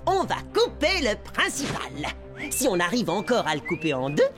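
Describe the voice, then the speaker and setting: An actor voicing an excitable preteen amoeba schoolboy in a French cartoon, with slangy, dramatic delivery. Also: mid-range voice, normal speed. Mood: scheming. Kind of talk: French cartoon dub acting. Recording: loud music behind the voice.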